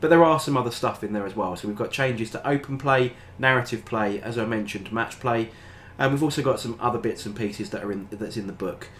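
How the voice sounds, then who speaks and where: A man's voice: medium pitch, a little fast, few pauses, fairly bright tone, slight background noise. An older British man with a stuffy, nasal head cold, casually talking through a game book review, rambling with lots of ums and uhs. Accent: British English. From Britain. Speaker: tabletop gaming channel host. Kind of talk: casual review talk to camera.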